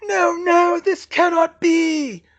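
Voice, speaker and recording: A man playing a smug, imperious dark lord in a fantasy audiobook, with slow, menacing, drawn-out delivery. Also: sits high, highs cut off, slight background noise, pitched above the performer's own voice. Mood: panicked rage.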